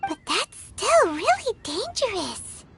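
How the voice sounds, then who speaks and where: A woman voicing a tiny, curious, naive childlike companion in a video game, squeaky and earnest. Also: sing-song, takes it slowly, vocal fry, background noise, heavily acted. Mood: concerned, curious. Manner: refers to self in third person, squeaky and earnest. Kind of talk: video game character voice acting. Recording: music playing behind the voice.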